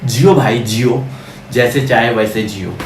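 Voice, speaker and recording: A man's voice: mid-range voice, a little slow, faint music behind, close to an everyday voice. A man playing a bitter, defiant condemned prisoner in a theatrical film monologue, scornfully declaiming at a crowd and repeating words for emphasis. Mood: exasperated defiance. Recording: echoey, distant, noisy audio.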